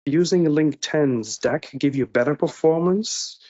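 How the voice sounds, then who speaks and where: A man's voice: fairly high pitch, unhurried, warm tone. A middle-aged man co-hosting a webinar over a video call, reading audience questions aloud. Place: indoor room on a video call. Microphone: video call microphone. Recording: dull, band-limited video-call audio.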